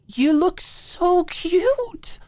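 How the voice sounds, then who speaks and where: A woman voicing an excitable, gushing, easily flustered young woman in an audiobook. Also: very high voice, extremely slow, dry recording, voice pushed up, heavily acted. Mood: excited. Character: bubbly, eager, self-doubting young woman hosting a sleepover. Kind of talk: audiobook character dialogue.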